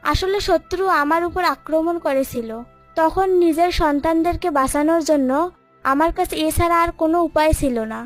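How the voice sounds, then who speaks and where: A woman's voice: extremely high pitch, normal speed, soft background music. A woman voicing a fierce, protective mother serpent in a children's cartoon, dramatic, emphatic and emotional. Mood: remorseful.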